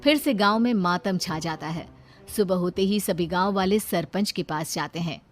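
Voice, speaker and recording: A woman's voice: lowish voice, moderate pace. A woman narrating a cartoon story from a script. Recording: faint music behind.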